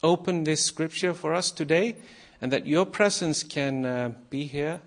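A man from Iceland, a former missionary, giving a nervous, halting sermon to a congregation with many pauses and fillers. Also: fairly high pitch. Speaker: guest preacher.

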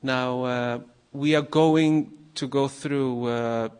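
A man from Iceland, a former missionary, giving a nervous, halting sermon to a congregation with many pauses and fillers. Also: mid-range voice, steady tone, darkish tone, clean tone. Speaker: guest preacher.

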